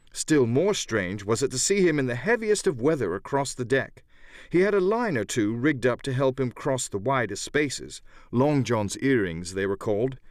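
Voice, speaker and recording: A man reads an adventure story aloud as an audiobook narrator. His pitch moves expressively with a theatrical storyteller's delivery, and the sound is dry and close. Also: dry recording. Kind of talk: dramatic scripted narration.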